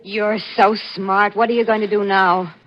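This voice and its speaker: An actress playing a cold, goading accomplice to murder in a 1940s radio drama. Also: female voice, mid-range voice, flat delivery, normal speed, over loud music, lightly acted. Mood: needling, uneasy. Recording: muffled vintage 1940s radio broadcast.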